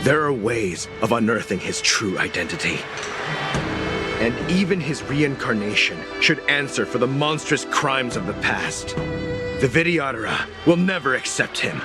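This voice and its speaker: A man's voice: medium pitch, animated, measured pace, natural voice. A man playing a vengeful, menacing zealot assassin in a video game, theatrical and declamatory. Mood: scornful. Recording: loud music under the voice.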